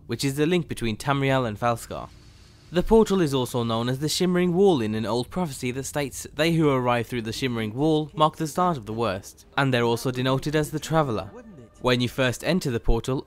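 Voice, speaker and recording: A man hosting a game mod video review, reading scripted narration. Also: mid-range voice. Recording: faint music behind the voice. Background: faint music.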